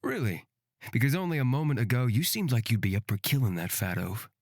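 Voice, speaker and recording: A woman's voice: sing-song, a little fast, a little mellow, no echo, studio quality, heavily acted. A woman voicing a cocky, bloodthirsty male fighter in a fantasy visual novel, taunting in a drawl. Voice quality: low, husky. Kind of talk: visual novel character reading.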